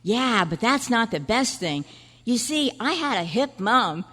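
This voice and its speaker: A woman's voice: a little high, very animated, measured pace, a bit bright, heavily acted. An earnest young boy acted by a woman reminisces in chatty kid slang, in the manner of an old radio play. The voice rings through a large hall with long reverb. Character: earnest, nostalgic fourth-grade boy. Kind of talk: old-time radio style stage acting. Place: large indoor room at a convention. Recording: echoey hall at a club meeting.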